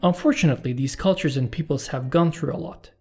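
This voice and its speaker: A Turkish man, a native Turkish speaker, hosting his channel and reading out viewer questions and his answers in a calm, measured voice-over. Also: a little high, slightly rough, faint music behind. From Turkey. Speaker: YouTube channel host. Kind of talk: scripted Q&A voice-over.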